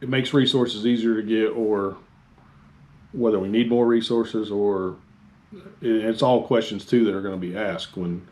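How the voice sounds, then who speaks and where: Man, slightly low pitch, fire service instructor, informal training talk, halting, repetitive phrasing. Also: fairly even intonation, darkish tone. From Texas, United States.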